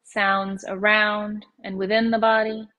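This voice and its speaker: A woman yoga nidra teacher guides a meditation in a medium-pitched voice. Her intonation stays very even, and she phrases slowly, leaving gentle pauses between instructions.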